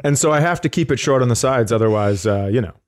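Guy cohosting a comedy podcast, normal pitch, wry teasing banter, makes fun of himself. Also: lively intonation, fast talker. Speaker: podcast co-host. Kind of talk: casual comic banter.

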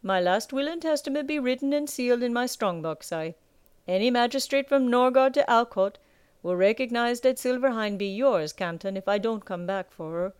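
A commanding male sea captain, as a woman reads him out, speaking in clipped, rough sailor talk. His voice sits higher and louder than the narration around him, and it comes through clear and dry, with no room sound. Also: lively intonation, normal speed, no room sound, natural voice. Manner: rough sailor talk, tags lines with "aye".

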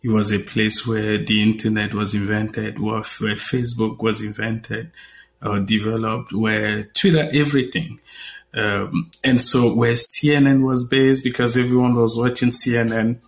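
A man from Cameroon, a guest journalist, talking in an interview with many fillers and restarts. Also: mid-range voice. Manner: hesitant, many fillers and repeated words. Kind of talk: conversational interview.

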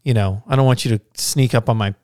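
A man co-hosting a podcast, teasing his partner with playful deadpan jokes. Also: medium pitch, fast, no echo, clean studio sound. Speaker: podcast co-host. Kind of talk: casual chat.

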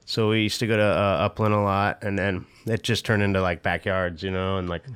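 Laid-back man with a smooth low voice. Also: level tone, brisk, fairly bright tone. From Southern California. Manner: rambling, laid-back, swears, many fillers and laughs. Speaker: podcast guest, former pro skater. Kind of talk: casual interview chat.

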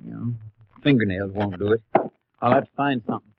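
An actor playing a folksy, weary sheriff with a rural Western American accent in a 1950s radio western. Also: male voice, on the low side, brisk, lightly acted. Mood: wry, intent. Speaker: supporting actor. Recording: muffled 1950s radio recording, faint noise.

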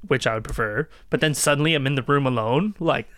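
Male podcast guest, easygoing chat delivery, mid-pitched, very little room sound. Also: fast talker, fairly bright tone, dry recording. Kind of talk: casual chat.

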